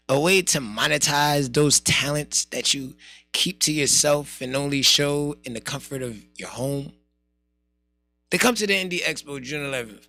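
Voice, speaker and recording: A man's voice: on the high side, creaky, pushed and bright, dry recording. An American man hosting a casual morning radio show, emphatic and streetwise, repeating phrases for emphasis.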